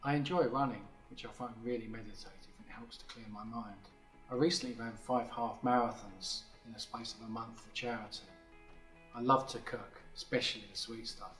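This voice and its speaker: A man in his forties giving a calm, reflective scripted testimonial. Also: medium pitch, moderate pace, faint music behind. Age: 40s. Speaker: employee sharing his mental health story. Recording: roomy video recording.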